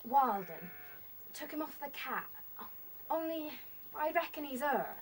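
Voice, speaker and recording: A young country girl, with a high voice and a rural southern English accent. She is polite, artless and soft-hearted in a naturalistic radio play, with faint farmyard noise behind. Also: big pitch swings, unhurried. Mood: shy, concerned. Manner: artless, eager, dialect grammar. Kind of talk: naturalistic radio drama acting.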